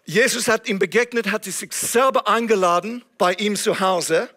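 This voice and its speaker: High bright voice, English preacher. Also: male voice, normal speed. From England. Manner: earnest, searching for German words. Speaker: guest preacher. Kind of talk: sermon.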